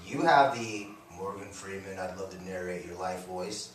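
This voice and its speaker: A man co-hosting a local radio talk show, talking in a laid-back, teasing way and quick to laugh. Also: deep voice, fairly even intonation. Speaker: radio co-host. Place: radio studio. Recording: echoey room, distant mic, faint noise.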